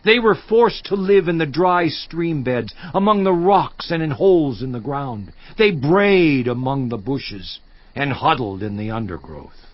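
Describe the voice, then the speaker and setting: A grieving, once-honored patriarch of an audio Bible drama, anguished and declamatory. His fairly high male voice moves slowly and swings widely in pitch, with a roomy echo around it. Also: expressive, highs cut off. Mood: bitter scorn. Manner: theatrical, declamatory, with long dramatic pauses. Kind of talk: dramatized scripture monologue.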